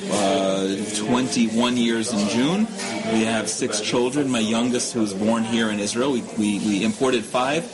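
A man's voice: lowish voice, unhurried, rough recording. A middle-aged American man, a guest on a radio show, answering interview questions steadily with a few stumbles. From United States. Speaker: guest, nonprofit head. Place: restaurant. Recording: loud restaurant crowd noise. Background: loud restaurant crowd.

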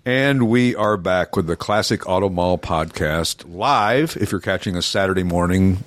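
A man hosting a casual radio podcast, with a husky voice from a cold, chatty and quick to laugh. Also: deep voice, no echo, faint music behind. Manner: chatty, laughs easily, rambling restarts. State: has a cold. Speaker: podcast host. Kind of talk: casual radio interview chat.

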